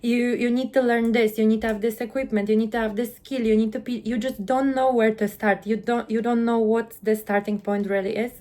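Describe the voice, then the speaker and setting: A woman in her thirties with a Polish accent, telling her story as a guest in an interview, earnest and quick, often repeating the first word of a sentence. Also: fairly high pitch, little pitch movement, mellow. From Poland. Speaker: guest, content creator.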